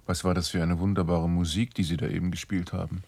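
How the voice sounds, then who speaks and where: A man's voice: low-pitched, level tone, fast, gravelly, darkish tone, breathy edge, full character voice. A man playing a composed, observant guest in a German radio drama, narrating reflectively and matter-of-factly. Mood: curious. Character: composed, observant male guest recounting his stay.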